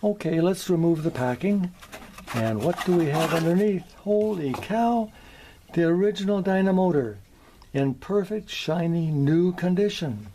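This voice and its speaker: A man with a fairly high, mellow voice narrates a show-and-tell video as its presenter. He speaks in careful, short phrases with a folksy touch. His delivery is slightly theatrical, with a wry sense of humour. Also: animated, measured pace, a little hiss or hum. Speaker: presenter, vintage radio collector.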